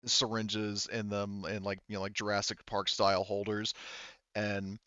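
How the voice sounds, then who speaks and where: A man narrating a tabletop roleplaying game as game master, improvising haltingly and thinking aloud, on slightly dull audio. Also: fairly low pitch, monotone, pushed and bright, clear, resonant tone, no room sound.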